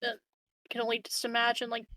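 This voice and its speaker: Woman playing a tabletop rpg, highish voice, dry sound, chats casually, swears a lot, makes fun of herself. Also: completely flat delivery, a little fast, pushed and bright, no room sound. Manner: self-deprecating, swears freely. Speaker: player in a tabletop roleplaying game. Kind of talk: casual in-game chat, often describing her character's words.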